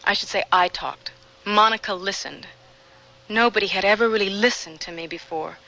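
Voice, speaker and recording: An actress playing a troubled young woman with a guilty past, confessing earnestly and haltingly in a radio drama. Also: mid-range voice, pushed and bright, rough recording. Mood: reflective.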